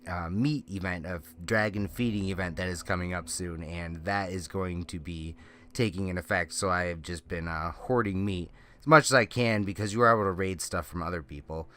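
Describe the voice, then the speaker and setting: A man's voice: sits low, normal speed, a bit bright. A man with a slightly nasal, congested voice giving rambling, casual walkthrough commentary on a video game as a channel host, often correcting himself. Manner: rambling, self-correcting explanations. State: congested with a lingering cold. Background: faint music.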